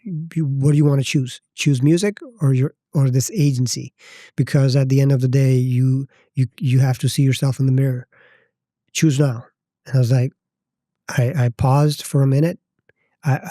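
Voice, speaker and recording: A Pakistani-accented man in his thirties speaks as a podcast guest, his voice mellow and close in a dead, dry space. He talks in a reflective, halting way, often stopping to rephrase. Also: mid-range voice, steady tone, dry recording. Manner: thoughtful, halting, circles back to rephrase. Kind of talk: reflective personal storytelling.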